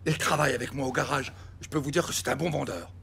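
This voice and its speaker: A man's voice: medium pitch, fairly even intonation, fast, creaky, a bit bright, slight background noise. An actor playing a middle-aged, indignant and protective father, a car dealer, in an audio drama. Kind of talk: dramatic audio series acting.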